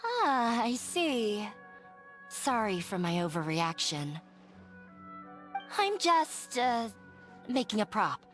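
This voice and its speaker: Shy nervous young woman, hesitant, flustered, slow halting speech, game character, soft music. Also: fairly high pitch, big pitch swings, heavily acted. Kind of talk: game voice acting.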